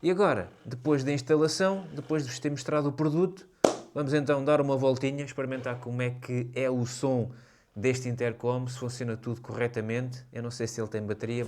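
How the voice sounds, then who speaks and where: A man's voice: medium pitch, a little mellow. A man with a European Portuguese accent talks casually and explains things conversationally, as a motorcycle vlogger reviewing gear to camera.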